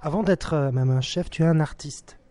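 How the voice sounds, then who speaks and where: A man's voice: fairly high pitch, moderate pace, faint music behind. A man hosting an interview, praising his guest lavishly and asking questions. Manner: effusive, flattering. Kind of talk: interview host.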